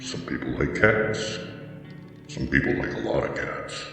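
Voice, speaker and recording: A man playing a creepy, sardonic old grandpa who hosts horror bedtime stories, with a gravelly voice and theatrical delivery. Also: sing-song, heavily acted. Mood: ominous musing. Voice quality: gravelly, very deep. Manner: slow, theatrical, mock-reassuring. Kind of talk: horror anthology host narration. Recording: cavernous long reverb, music underneath. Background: music.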